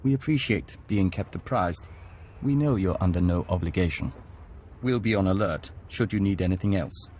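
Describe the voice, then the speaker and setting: An actor playing a courteous, measured hive member who speaks as a collective in a video game. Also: male voice, sits low, a little mellow, slight background noise, natural voice. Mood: serene, polite. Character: calm courteous insectoid hive-joiner spokesman, man. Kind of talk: scripted video game acting.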